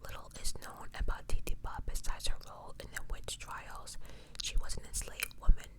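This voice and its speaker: ASMR host for true crime storytelling, pure unvoiced whisper throughout, conversational and emphatic, with informal asides. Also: normal speed. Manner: conversational, emphatic, repeats points for effect.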